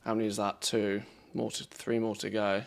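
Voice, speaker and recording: A man with an English accent giving relaxed, chatty commentary on a live game stream, reacting to play and greeting viewers. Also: fairly low pitch, normal speed, gravelly. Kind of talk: casual live-stream commentary while gaming.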